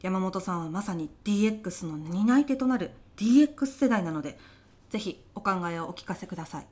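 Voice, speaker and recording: A woman's voice: mid-range voice, lively intonation, quick pace, darkish tone, breathy edge. A woman playing a polite but easily exasperated veteran job interviewer in an audio comedy sketch, speaking formal business Japanese. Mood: stern, suspicious.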